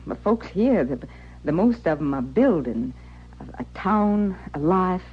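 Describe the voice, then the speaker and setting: A woman's voice: lowish voice, big pitch swings, unhurried, slightly rough, full character voice. On a dull vintage radio recording, a proud frontier widow speaks in rustic, folksy phrases. She sounds stubborn and wounded, in the manner of old western radio drama. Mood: remorseful, earnest.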